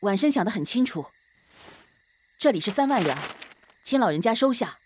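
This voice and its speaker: A woman voices An earnest young male magistrate from a Chinese period radio play. The delivery is animated, polite and youthful, with faint ambient noise behind it. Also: medium pitch, moderate pace, close to an everyday voice. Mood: respectful, earnest. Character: earnest young gentleman magistrate of ancient China. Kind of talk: Chinese period audio drama acting.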